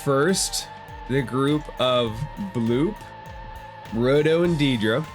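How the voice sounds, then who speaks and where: A man running a tabletop role-playing game, recapping events to his players in a measured, teasing way. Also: mid-range voice, music in the background. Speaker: game master.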